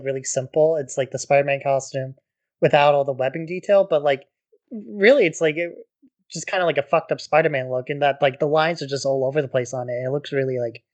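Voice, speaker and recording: A man co-hosting a podcast, talking in a relaxed, casual way and saying "like" often. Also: mid-range voice. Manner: relaxed, peppered with "like", laughs easily. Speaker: podcast co-host.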